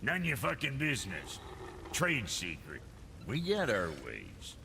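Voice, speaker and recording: Gravelly, cantankerous dwarf blacksmith. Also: male voice, mid-range voice, wildly swooping pitch, measured pace, background noise, full character voice. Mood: surly, mocking. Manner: brusque, cantankerous, swaggering. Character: crude, gruff male dwarf blacksmith. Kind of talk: video game character acting.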